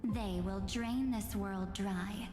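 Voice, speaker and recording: An enigmatic woman from a video game, calm and mocking. She speaks slowly, with condescending drama, as if delivering cruel truths. Her voice rings in a long cavernous reverb over soft music. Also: mid-range voice. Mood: grave, scornful. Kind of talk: theatrical scripted game dialogue. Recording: echoey cavernous hall effect, music behind.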